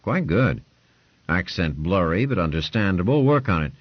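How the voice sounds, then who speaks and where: A brusque, imperious lord barks short commands in a raised, forceful voice, as a man puts him on. The sound is dry and a little dull. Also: low-pitched, sing-song, normal speed, husky, no room sound, heavily acted. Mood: commanding. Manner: curt, commanding, clipped orders.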